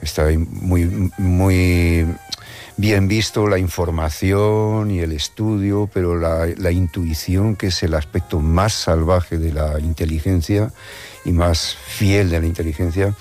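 A man from Madrid with a Madrid Spanish accent speaks in a very low, deep voice. He is a musician answering a radio interview, thinking aloud and restarting his sentences. Quiet music hums faintly underneath. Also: fairly even intonation. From Madrid, Spain. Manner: thoughtful, halting, many restarts. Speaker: musician guest.

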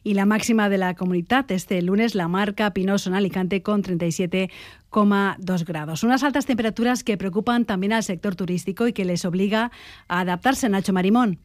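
A woman's voice: mid-range voice, brisk, no echo, studio quality. A woman with an accent from Spain, anchoring a scripted radio news bulletin.

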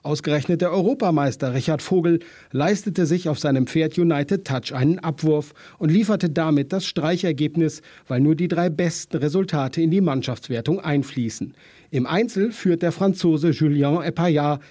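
A man sports reporter reading a scripted radio report in a steady, matter-of-fact way. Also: on the high side, moderate pace, no room sound.

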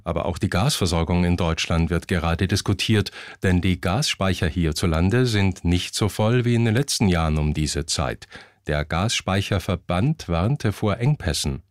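A man reads the news on the radio in a low voice. The sound is dry and close, and he delivers scripted bulletin items as a presenter. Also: moderate pace, no echo.

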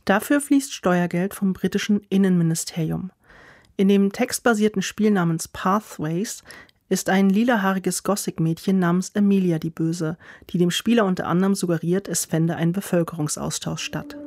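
Female news narrator, lower voice, dry booth sound. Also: normal speed, a little mellow, dry recording.

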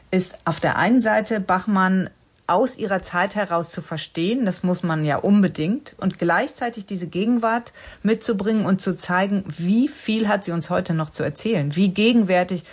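Husky-voiced woman filmmaker, earnest. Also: mid-range voice. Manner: thoughtful, earnest, searching for words. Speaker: documentary filmmaker, interviewee. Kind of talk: interview answers.

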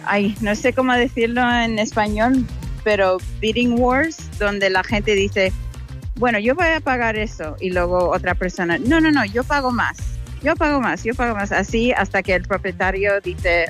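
A woman's voice: mid-range voice, lively intonation, normal speed, soft background music. A woman from the United States with an American English accent, a native English speaker, giving a casual interview in a chatty way and slipping in English words. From United States (Chicago). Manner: chatty, slips in English words, acts out quotes. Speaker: content creator, interviewee.

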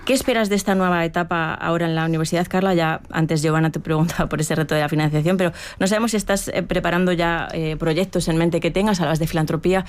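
A woman hosts an interview with a low-pitched voice and a quick pace. She asks formal, long multi-part questions. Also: fairly even intonation. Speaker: host.

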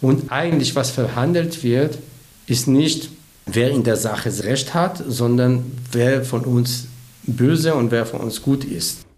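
A man speaks thoughtfully and analytically in an interview, weighing his points as he goes. His delivery is calm and reflective. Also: medium pitch, measured pace. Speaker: director of an educational institution. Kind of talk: interview statement.

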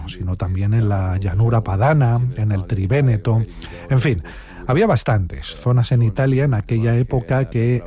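Guy doing interview dub, mellow relaxed voice, mid pitch. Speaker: voice-over interpreter. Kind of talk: translated interview voice-over.

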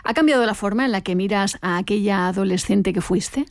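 A woman's voice: medium pitch, a little fast. A woman interviewer putting questions to a guest in a conversational way.

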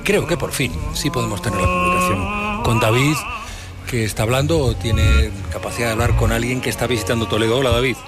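A man's voice: mid-range voice, brisk. Spanish male radio host over music. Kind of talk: live radio presenting. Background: music bed.

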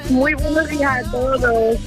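High-voiced woman calling a radio show. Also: steady tone, unhurried, over music. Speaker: radio phone-in caller. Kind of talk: casual anecdotal chat.

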